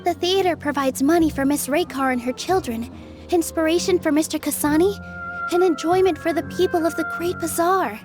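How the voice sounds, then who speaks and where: A woman playing a gentle, earnest young female performer in a video game dub. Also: very high voice, brisk, music in the background. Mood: heartfelt.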